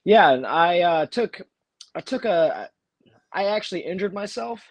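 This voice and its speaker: Man, fighter, slight cold, halting speech, dry close sound. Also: sits high, expressive, dry recording. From Texas, USA. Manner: halting, many restarts and fillers. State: has a cold. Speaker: bare-knuckle fighter, guest. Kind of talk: casual interview chat.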